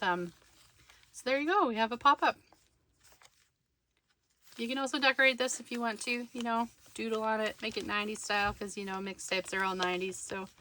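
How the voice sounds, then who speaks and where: Bright-voiced woman narrating a craft tutorial. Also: fairly high pitch, a little hiss or hum, poor audio. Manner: informal, thinking aloud while working.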